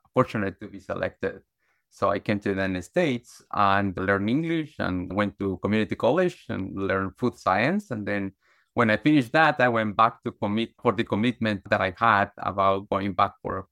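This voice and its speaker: A man's voice: on the low side, creaky, a bit bright, no room sound, studio quality. A middle-aged man from Guatemala, a podcast guest, telling his life story earnestly with many restarts and fillers. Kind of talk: conversational storytelling.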